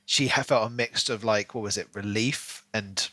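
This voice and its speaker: A man's voice: lowish voice, normal speed, pushed and bright, no echo. A man co-hosting a podcast, discussing a book casually in long, rambling runs. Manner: rambling, talks in long runs with many "you know"s. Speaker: podcast co-host.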